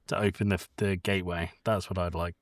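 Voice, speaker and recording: A man's voice: deep voice, level tone, brisk, dry recording, clean studio sound. A British man co-hosting a podcast, talking casually about films in a joking, blunt manner. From Britain. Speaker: podcast co-host. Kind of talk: casual film discussion.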